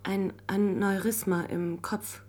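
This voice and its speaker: A grieving, newly homeless woman in a radio drama. Her voice has a medium pitch, and she speaks politely and haltingly, as if excusing herself. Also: steady tone, darkish tone. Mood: grieving, resigned. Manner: hesitant, apologetic, halting. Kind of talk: German radio drama acting.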